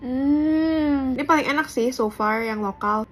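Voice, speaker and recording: Woman, Indonesian accent, fairly high voice, slangy food review with English words, faint music behind. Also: a little slow. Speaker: food vlogger. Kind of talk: casual mukbang review.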